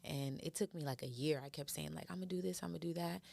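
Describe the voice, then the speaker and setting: A woman from Florida, a daycare owner, chatting casually and telling animated, slangy stories on a podcast. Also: low voice, quick pace, creaky.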